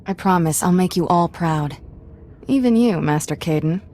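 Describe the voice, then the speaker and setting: A woman's voice: fairly low pitch, lively intonation, moderate pace, warm tone, natural voice. A woman acting a sardonic young Jedi apprentice in a video game, with a casual, flippant way of talking. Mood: earnest, wry. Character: sardonic young female Jedi apprentice, former street urchin.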